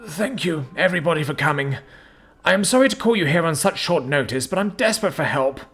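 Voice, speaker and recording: A man's voice: a little high, expressive, brisk, fairly bright tone, breathy edge, soft background music, pitched above the performer's own voice. A man voicing a frail, desperate old male amphibian creature with a quivering, hissing voice in a storybook reading. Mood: desperate pleading.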